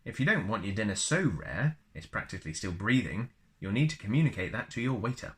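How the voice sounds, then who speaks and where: A man's voice: lowish voice, very animated, fairly quick, a bit bright. A man reading a book aloud as a storyteller, chatty and often slipping into casual asides. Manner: chatty, wanders into personal asides.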